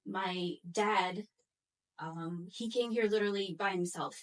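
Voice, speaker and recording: A woman telling a personal story on a panel in a measured, halting way. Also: medium pitch, distant mic. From upstate New York, United States. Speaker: panel guest, audit manager.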